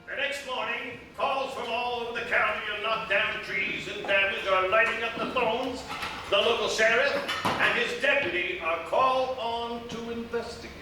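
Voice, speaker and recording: A man's voice: very high-pitched, steady tone, moderate pace, music in the background. A man playing a pompous, portentous narrator in live comedic radio theatre, reading in a theatrical style. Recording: echoey live theatre, far mic, faint noise.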